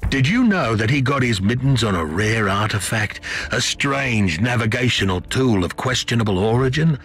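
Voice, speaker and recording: An actor playing a wily, chatty starship captain in a video game, talking in a theatrical, glib and courteous way. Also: male voice, medium pitch, expressive, normal speed, a bit bright, natural voice. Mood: sly, gossipy.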